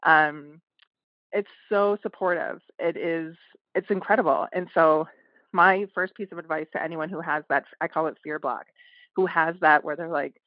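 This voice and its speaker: Woman speaking in a low, dull recording. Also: steady tone, normal speed, dry recording. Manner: thoughtful, with fillers and restarts. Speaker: guest, independent publisher. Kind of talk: casual podcast interview.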